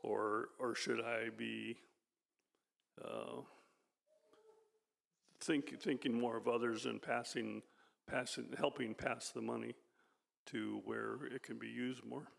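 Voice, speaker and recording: Very gravelly, slow-speaking man. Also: mid-range voice, lively intonation, in a large hall. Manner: halting, repeats words. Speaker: church class participant. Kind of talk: reflective discussion comment.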